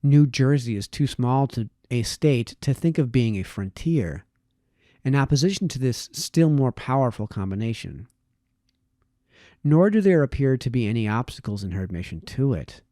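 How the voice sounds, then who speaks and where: A man reading formal essays aloud as narration, with measured, emphatic phrasing and deliberate pauses. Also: mid-range voice, expressive, mellow, no room sound, studio quality. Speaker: amateur reader of historical essays.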